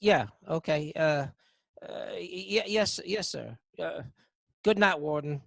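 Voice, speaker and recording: An actor playing a timid, dim, deferential prison guard in a radio drama, stammering and hesitant with folksy speech. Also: male voice, fairly high pitch, a little slow, creaky, no room sound. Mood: flustered, meek. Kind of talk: old-time radio drama acting.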